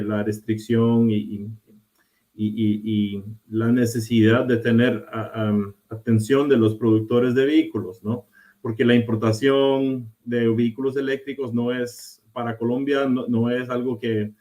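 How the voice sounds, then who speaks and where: A man with a Costa Rican-tinged Spanish accent speaking as a panelist on a video call, halting with many restarts and fillers. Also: medium pitch, a little mellow. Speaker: panelist, charging company executive. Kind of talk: remote panel talk. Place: remote, joining from abroad.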